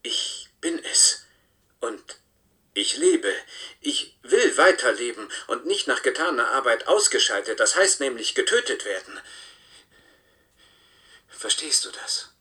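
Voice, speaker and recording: An actor in a German radio play voicing the simulated copy of a husband, pleading for his life in a halting, emphatic way. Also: medium pitch, sing-song, sharp tone, far from the mic, exaggerated voice. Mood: urgent, pleading. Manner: halting, emphatic, repeats words.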